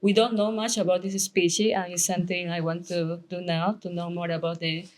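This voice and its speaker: A young woman from Peru, a wildlife ecologist speaking in brief, soft replies with hesitations. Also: fairly low pitch, little pitch movement, brisk. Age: young adult. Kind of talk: casual conversation.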